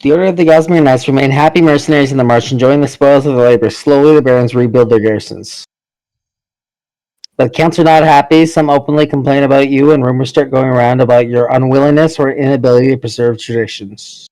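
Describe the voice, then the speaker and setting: A man's voice: mid-range voice, steady tone, quick pace, darkish tone, no echo, low-quality recording. Man narrating a game, very dry sound. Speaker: narrator and player in a party game. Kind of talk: reading game narration aloud.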